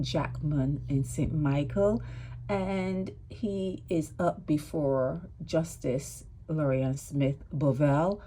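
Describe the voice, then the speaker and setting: A woman's voice: deep voice, mellow, a little hiss or hum. A woman giving casual, emphatic commentary on a court case, repeating her points and checking in with "okay?".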